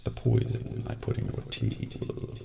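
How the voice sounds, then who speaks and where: A man playing a cold, calculating assassin in an ASMR role play, speaking softly and deliberately with polite menace into a close microphone. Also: muffled recording, close to an everyday voice. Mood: quietly menacing. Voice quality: breathy, gravelly, near-whisper. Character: cold, calculating male assassin agent gloating over his victim. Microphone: close binaural microphone. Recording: close binaural mic, faint noise.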